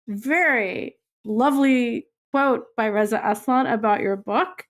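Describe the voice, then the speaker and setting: Chatty podcast host woman, higher voice. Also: measured pace. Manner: chatty, lots of "like" fillers. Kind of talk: casual interview chat.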